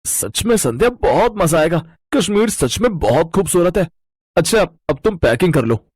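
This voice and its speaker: A man playing an eager young husband in a story, with a husky voice and an enthusiastic manner. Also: high-pitched, very animated, moderate pace, a little mellow, no room sound, clean studio sound, full character voice. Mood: eager, excited.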